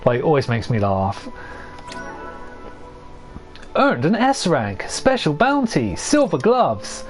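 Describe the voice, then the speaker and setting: A man's voice: on the high side, wildly swooping pitch, takes it slowly, faint music behind. Chatty young man streaming with a fraying voice. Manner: rambling, chatty, laughs often at own jokes. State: hoarse, losing his voice. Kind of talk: casual gameplay commentary to chat.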